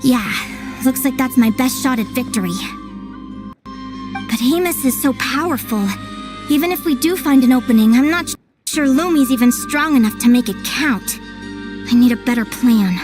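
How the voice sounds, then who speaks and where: A woman's voice: sits high, normal speed, over music, lightly acted. A woman playing a friendly, earnest, strong-willed young advisor in a video game. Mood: determined, pensive.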